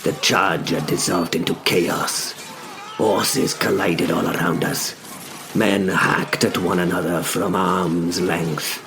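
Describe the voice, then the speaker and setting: A male narrator delivers dramatic first-person storytelling. His voice is very deep and gravelly, and he moves very slowly through short, deliberate phrases. Music plays behind him. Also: fairly even intonation, noisy background.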